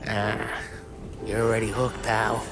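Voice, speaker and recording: An actor playing a world-weary, middle-aged American mobster with a coarse, streetwise, slangy way of talking in a cinematic video game. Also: male voice, on the low side, flat delivery, takes it slowly, rough recording. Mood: wistful. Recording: music behind the voice.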